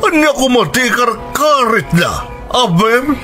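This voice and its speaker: A man's voice: very high voice, very animated, slow pace, pushed and bright, faint music behind, exaggerated voice. An actor playing a wealthy, stern father who scolds his daughter with scorn, in a radio drama. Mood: angry scolding.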